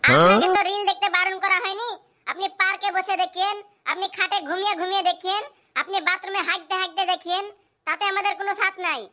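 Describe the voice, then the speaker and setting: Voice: very high-pitched, fast talker, sharp tone. A performer voicing a cheeky, pushy schoolboy customer in a comedy cartoon, with whiny, insistent delivery. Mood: complaining. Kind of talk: comic cartoon dubbing.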